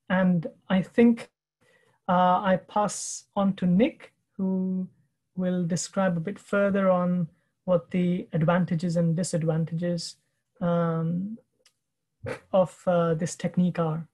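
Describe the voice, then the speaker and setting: A man presenting research findings in a webinar over a video call, explaining slides in a measured way with frequent pauses and fillers. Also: sits high, little pitch movement, a little mellow. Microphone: video call webcam.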